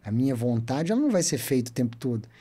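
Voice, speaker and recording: A man in his thirties with a Minas Gerais accent, a podcast guest telling his story in an animated, talkative way. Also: mid-range voice, quick pace, slightly rough. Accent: Minas Gerais Portuguese. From Brazil. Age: 30s. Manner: chatty, animated storyteller who loves to talk. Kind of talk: casual storytelling interview.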